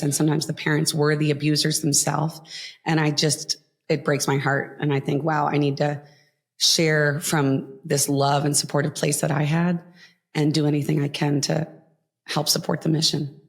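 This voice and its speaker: Earnest low-voiced woman guest. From Florida. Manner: earnest, heartfelt, with frequent fillers. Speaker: podcast guest. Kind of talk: casual interview talk.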